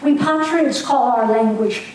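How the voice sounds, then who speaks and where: Casual: older lady playing a teasing young irish nationalist, earnest, high voice, echoey room from far away. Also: a little slow, darkish tone, natural voice. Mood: fervent. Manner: earnest, needling, preachy. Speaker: community theater actor. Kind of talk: amateur stage reading. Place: community stage hall. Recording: echoey hall, mic far off, faint hiss.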